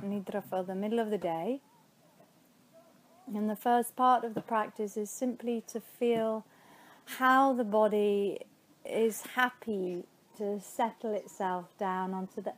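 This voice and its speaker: A woman teaching yoga nidra, guiding a meditation in a soothing, unhurried way with spacious pauses between phrases. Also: medium pitch, slight background noise. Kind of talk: guided meditation.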